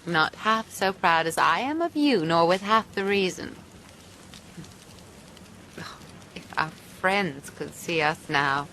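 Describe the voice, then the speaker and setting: An actress playing a brave, tender, well-bred castaway in a radio drama, genteel and earnest. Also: female voice, fairly low pitch, expressive, takes it slowly, pauses often, bright, forceful tone, heavily acted. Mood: fond. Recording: sea and boat noise behind.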